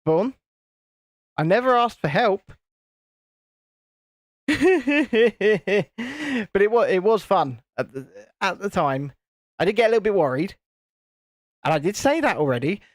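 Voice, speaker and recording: A man with a naturally high-pitched voice, hosting a casual livestream and cheekily bantering while reading out chat comments. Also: lively intonation, a little slow, long pauses, no echo, studio quality. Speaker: host of a building livestream.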